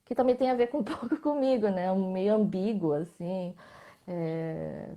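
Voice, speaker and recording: A woman with a Brazilian Portuguese accent, a visual artist speaking as a guest in a live online interview, reflective, often tagging her sentences with questions and letting them trail off softly. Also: medium pitch, a little slow.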